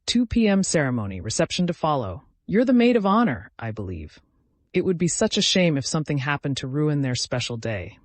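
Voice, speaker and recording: Man voicing a taunting, menacing woman villain, measured and cold. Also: fairly high pitch, sing-song, dry recording. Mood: mock-sweet menace.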